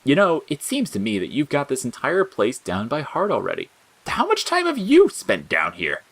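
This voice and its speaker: A man's voice: mid-range voice, huge pitch swings, normal speed, husky, pitched above the performer's own voice, exaggerated voice. A man voicing a bitter, bored talking flower in an audio story, sarcastic and snappish. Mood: taunting. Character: sneering bitter talking flower, spiteful bored male monster. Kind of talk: audio fiction character acting.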